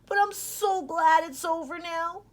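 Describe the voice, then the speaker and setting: A woman voicing a timid, earnest little male puppy in a storybook, with a small squeaky, plaintive voice. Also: measured pace. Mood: relieved. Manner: whimpering, earnest.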